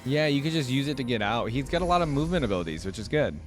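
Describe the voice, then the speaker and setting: A man's voice: mid-range voice, lively intonation, very fast. A man hosting a reaction video, commenting casually and off the cuff. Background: faint music from the watched video.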